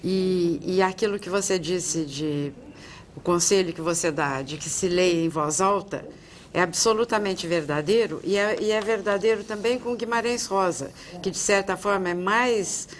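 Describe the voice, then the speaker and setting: A woman's voice: on the low side, normal speed, slight background noise. An older woman, speaking as an interviewer on a television studio panel in long, articulate sentences. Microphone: lapel mic.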